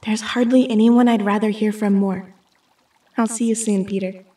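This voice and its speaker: A woman's voice: medium pitch, level tone, moderate pace, warm tone, husky, dry recording, close to an everyday voice. A woman playing a hurt, stubborn teenage schoolgirl heroine in an audio drama, blunt and emotional. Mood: wistful.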